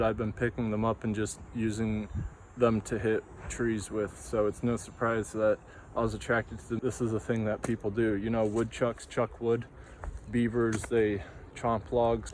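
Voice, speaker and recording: Young male vlogger, flat, drifting tangents, outdoors with light traffic hum. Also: on the low side. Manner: meandering, tangential, many fillers. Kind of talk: rambling casual monologue.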